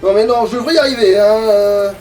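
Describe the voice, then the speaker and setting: A man's voice: high voice, fast talker, a little mellow, distant mic, faint music behind. A man giving casual, informal commentary while he plays a video game, often muttering to himself and swearing. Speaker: let's player playing the game.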